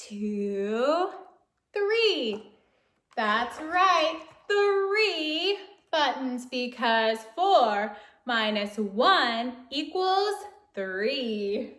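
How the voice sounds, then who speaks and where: Female children's read-aloud narrator, very unhurried, playful and exaggerated, sing-song delivery, recorded in an echoey room. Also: high voice. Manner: animated, playful, exaggerated exclamations and questions to young listeners. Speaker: storyteller reading a picture book to children.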